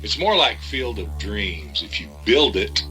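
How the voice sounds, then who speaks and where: Cagey male informant for audio drama, played by an actor: lowish, forceful voice, guarded delivery, faint music bed. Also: big pitch swings, a little slow, heavily acted. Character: cagey male insider informant, secretive, cautioning.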